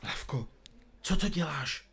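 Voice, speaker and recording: A man's voice: on the high side, lively intonation, gravelly, pushed and bright, slightly rough, heavily acted. A man playing a pompous, absent-minded detective in an audio comedy drama, speaking in florid, formal, bookish phrases. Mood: pompous, fussy.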